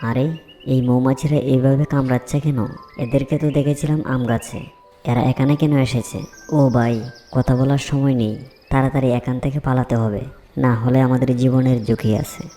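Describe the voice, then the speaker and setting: A man's voice: medium pitch, fairly even intonation, moderate pace, dark tone, faint music behind, lightly acted. A man playing plain-spoken, wary woodcutters in a children's fairy tale cartoon. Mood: alarmed.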